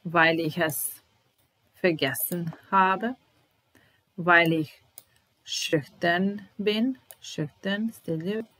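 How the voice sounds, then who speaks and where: A woman in her thirties with a Serbian accent, teaching German slowly, repeating each phrase while typing, in a small room at home. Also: on the low side, fairly bright tone, poor audio. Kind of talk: slow, deliberate language lesson.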